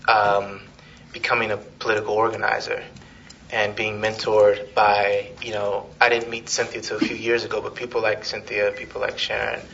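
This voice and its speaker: A man giving an informal, halting public talk as a political organizer, on a dull, noisy live recording. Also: lowish voice, monotone, creaky, bright, forceful tone.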